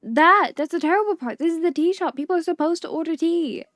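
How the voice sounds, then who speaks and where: A woman's voice: very high voice, quick pace, fairly bright tone, no room sound, studio quality, pitched above the performer's own voice. A woman voicing an intense, rule-bound, easily exasperated female video game character with an emphatic, insistent manner. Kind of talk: video game dialogue read aloud.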